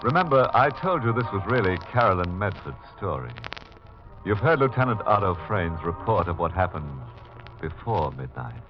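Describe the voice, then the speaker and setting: An actor playing a wry, macabre mystery host, narrating theatrically in a sardonic 1940s radio style. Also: male voice, low-pitched, sing-song, moderate pace. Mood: wry, sinister. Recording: muffled 1940s radio recording with music.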